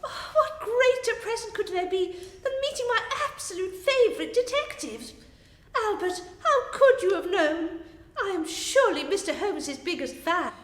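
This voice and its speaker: A woman's voice: extremely high pitch, animated, moderate pace. A woman playing a demanding, gushing queen in a comic radio play, with a theatrical manner. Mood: gushing delight. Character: gushing, demanding young queen, doting wife. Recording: echoey hall, faint music behind.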